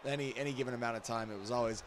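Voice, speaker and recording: A man giving casual, sarcastic reaction commentary as host, talking in an animated way. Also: medium pitch, racing, crowd noise. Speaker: host, performer from the game commenting on its cut scenes.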